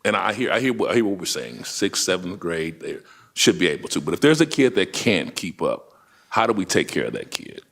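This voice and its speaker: A man with a very deep voice questions staff at a school board meeting. He talks casually and repeats himself as he searches for words. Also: very animated, a little fast, gravelly. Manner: casual, repeats words, self-described loud voice. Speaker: board member.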